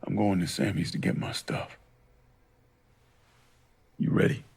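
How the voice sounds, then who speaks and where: An actor playing a grim, determined young American veteran with a blunt manner in a video game cutscene. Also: male voice, deep voice, unhurried, creaky, a little mellow, slightly rough, exaggerated voice. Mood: resolute. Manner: blunt, resolute. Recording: music behind the voice.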